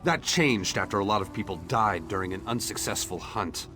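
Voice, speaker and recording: A man's voice: fairly low pitch, expressive, moderate pace, close to an everyday voice. A man voicing a brash, determined young treasure seeker in a fantasy video game, eager and self-assured. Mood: defiant. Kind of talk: AI-voiced fantasy game dialogue. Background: game music.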